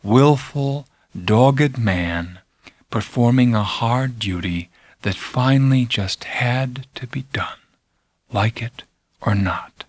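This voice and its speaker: A man's voice: mid-range voice, slow pace, no echo. Intense man narrating, in a very dry recording. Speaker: audiobook narrator. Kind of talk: dramatic first-person literary narration.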